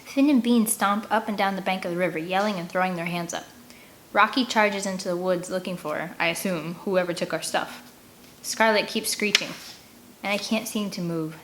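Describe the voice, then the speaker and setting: A woman narrating a first-person story in an animated, expressive read-aloud manner. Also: lowish voice, moderate pace. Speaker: audiobook reader. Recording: clear background ambient noise.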